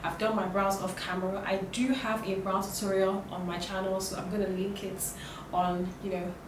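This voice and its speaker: A young woman with a croaky voice from a cold, chatting casually as she walks through a makeup tutorial, with lots of fillers and restarts. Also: medium pitch, flat delivery, normal speed. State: hoarse from a cold. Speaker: beauty vlogger. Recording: roomy, distant, noisy home recording.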